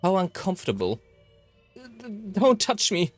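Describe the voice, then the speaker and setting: A man's voice: sits high, wildly swooping pitch, normal speed, voice pushed up, full character voice. A man acts out a withdrawn, socially awkward visual novel hero. The voice is raised and timid, with a stammering manner. There is faint music behind it. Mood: uneasy. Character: awkward, withdrawn protagonist uneasy with people.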